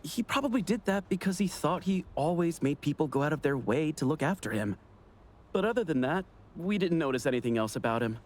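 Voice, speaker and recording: A man voicing a polite, helpful high school student in an anime game, earnest and explanatory. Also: lively intonation, brisk, natural voice.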